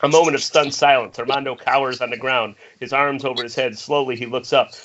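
A man's voice: medium pitch, steady tone, brisk, a bit bright. A man reading screenplay stage directions as scripted narration over a dull internet call.

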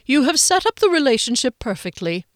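A woman voicing a pompous, old-fashioned gentleman who talks down to his partner with exasperated briskness. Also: high voice, very animated, no echo, studio quality, pitched above the performer's own voice. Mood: scheming.

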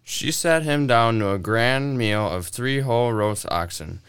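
A man narrating a folktale in a radio drama with an expressive, folksy storytelling manner. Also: medium pitch, unhurried, smooth, no echo.

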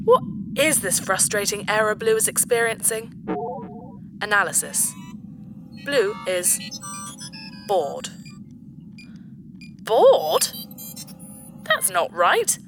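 A woman's voice: mid-range voice, big pitch swings, slow, gravelly, pauses often, over music, exaggerated voice. A woman playing a childlike, mischievous sentient spaceship computer in a sci-fi audio drama, sing-song, slipping into clipped computer announcements. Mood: puzzled, bored. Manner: speaks of herself in third person, sing-song, switching to clipped computer announcements. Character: childlike, mischievous female ship computer that has become sentient.

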